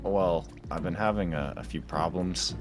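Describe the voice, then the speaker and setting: Man playing a low-voiced, awkward, hesitant guy. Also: moderate pace, natural voice. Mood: hesitant, cagey. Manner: hesitant, stammering, casual. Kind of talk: improvised Minecraft roleplay. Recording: clear music behind the voice.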